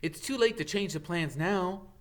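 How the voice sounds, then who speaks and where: A man's voice: sits high, normal speed, pitched above the performer's own voice, natural voice. A man voicing an earnest schoolboy who speaks with urgency and insistence in a storybook reading. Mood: urgent.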